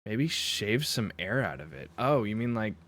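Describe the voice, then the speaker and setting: A man streaming live as a music producer, chatting casually and thinking aloud in halting bits with fillers while he works on a mix. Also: on the low side, sing-song, a bit bright.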